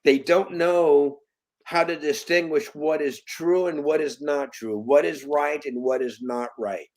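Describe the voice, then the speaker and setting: A man in his late fifties, a guest in an interview, talking earnestly in halting bursts with many restarts. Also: on the high side, fairly even intonation, a little mellow. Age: 50s. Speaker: guest, parent. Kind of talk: conversational interview.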